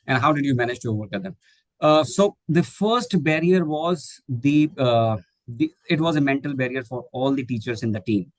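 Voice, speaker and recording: A man's voice: mid-range voice, moderate pace, no echo. A middle-aged man from India whose first language is Hindi, answering questions in an online webinar, hesitant with many fillers and restarts. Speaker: guest speaker, English teacher trainer.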